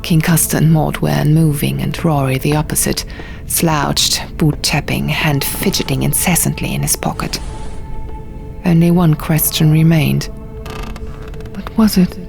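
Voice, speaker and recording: A woman narrating a fantasy audiobook in a hushed, measured, dramatic storytelling style. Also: low voice, flat delivery, gravelly. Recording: music behind the voice.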